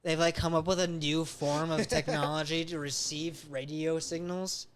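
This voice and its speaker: A man bantering on a live-streamed podcast, quick and jokey, riffing with crude humor. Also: a little high, steady tone. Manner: quick, joking, riffs into silly voices. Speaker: podcast co-host.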